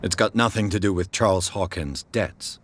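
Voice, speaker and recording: An actor playing a brooding, blunt private detective in a video game, with a dry, pointed, questioning delivery. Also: male voice, deep voice, normal speed, fairly bright tone. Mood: skeptical, probing. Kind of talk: scripted game dialogue. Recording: clear background noise under the voice.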